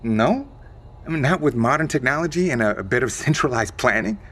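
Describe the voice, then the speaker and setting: An actor playing an evasive male insider who calmly explains a secret catastrophe in an audio drama, in a measured, matter-of-fact way. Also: medium pitch, breathy edge.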